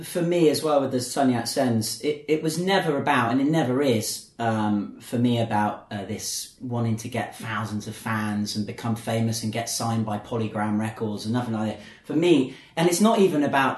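Male podcast host, casual and rambling, mid-pitched voice, echoey studio. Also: normal speed, hardly any pauses. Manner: rambling, many ums and restarts. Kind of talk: casual chat. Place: indoor studio.

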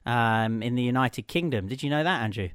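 Brief: male radio host, mid-pitched, dry studio mic, talkative and jokey. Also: expressive, brisk, a bit bright, clean tone, no echo. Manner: chatty, laughs easily, self-correcting. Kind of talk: casual radio chat.

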